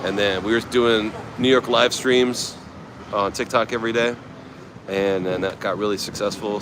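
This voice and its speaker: A middle-aged American man from California, rambling in a laid-back way as he livestreams and answers his viewers. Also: fairly low pitch. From United States, California. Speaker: travel livestreamer. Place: outdoors at a night market by the beach. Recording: noisy outdoor street recording.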